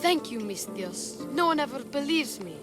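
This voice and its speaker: A woman's voice: high-pitched, very animated, normal speed. A woman voicing a young, defensive, streetwise treasure hunter in a game cutscene. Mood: eager. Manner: defensive, streetwise, a bit whiny. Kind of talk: video game cutscene acting. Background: game music.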